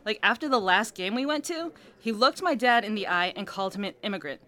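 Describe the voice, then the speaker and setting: A woman's voice: mid-range voice, animated, fairly quick, pushed and bright. A woman playing a feisty, stubborn young soccer supporter in an audio drama, blunt and combative. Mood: earnest, resentful.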